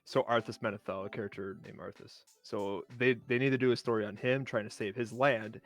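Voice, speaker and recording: A man's voice: fairly low pitch, fairly even intonation, a little fast, fairly bright tone, soft background music. A man explaining things casually as a nerdy fan on a discussion panel. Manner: self-described nerdy enthusiast. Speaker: round table panelist.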